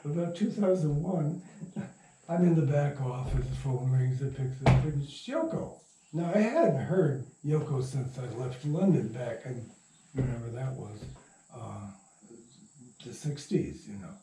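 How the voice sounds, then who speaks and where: An older man telling anecdotes casually as an interview guest, in a rambling, halting way, recorded in a roomy space. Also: medium pitch, expressive, background noise. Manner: rambling, halting, chuckling raconteur. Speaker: interview guest, radio producer and sound recordist. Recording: roomy echo, distant from mic.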